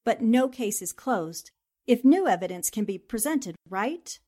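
A high female voice from an actress plays a principled newspaperwoman in a forties radio drama. She is articulate and courteous, with a firm, assertive way of pressing her questions. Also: expressive, unhurried, dark tone. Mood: resolute, challenging. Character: determined, principled newspaperwoman investigating a murder case. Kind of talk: 1940s radio drama acting.

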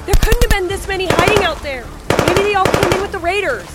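A woman's voice: very high voice, quick pace. A woman playing a capable, protective fighter in a zombie apocalypse audio drama. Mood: alarmed. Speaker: radio operator for a survivor colony. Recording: loud music and gunfire behind.

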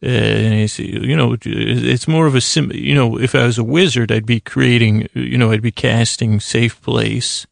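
An American man with a heavily creaky, gravelly voice hosts a bedtime podcast. He talks in a flat, narrow range, halting and restarting as he meanders through improvised tangents. The sound is dry and close. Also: medium pitch, no echo. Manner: halting, meandering, self-interrupting with frequent tangents and pauses. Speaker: podcast host. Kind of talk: rambling, improvised bedtime monologue.

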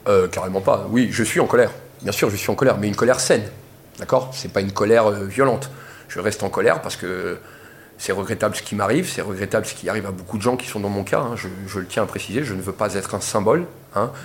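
A man in his thirties from Portugal speaks at a press interview with a low voice, impassioned and insistent. He drives his points home by repeating them, with faint noise behind him. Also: fast. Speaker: protester giving a press interview. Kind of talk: press conference statement.

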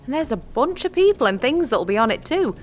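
Gruff old folksy wise-woman, animated. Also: high voice, fairly quick, soft background music. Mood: stern, warning. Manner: rustic dialect, blunt, scolding. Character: gruff old village witch woman, wary, folksy, teasing. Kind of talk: audio drama acting.

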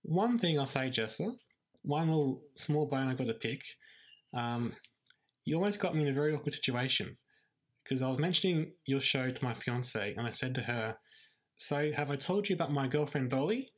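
Croaky, husky podcast host. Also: male voice, mid-range voice, lively intonation, moderate pace. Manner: earnest, self-deprecating, laughs often. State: croaky from illness. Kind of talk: casual podcast chat.

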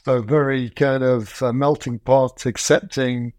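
A man's voice: mid-range voice, fairly even intonation, measured pace, a little mellow, no echo, clean studio sound. A man hosting a podcast, talking in a chatty, digressive way with fillers and restarts. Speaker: podcast host. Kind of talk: casual podcast interview.

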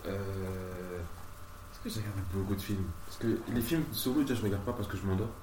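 Podcast guest, young male, slightly low pitch, colloquial sibling banter; distant mic, clear background noise. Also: fairly quick, roomy sound. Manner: informal, slangy, colloquial. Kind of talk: casual sibling chat.

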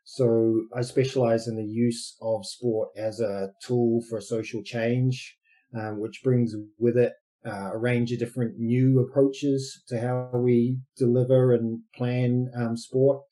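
A man's voice: mid-range voice, little pitch movement, takes it slowly, mellow, poor audio. A man from New Zealand with a New Zealand accent, a basketball coach and social entrepreneur explaining his work in a podcast interview, thoughtful with many fillers.

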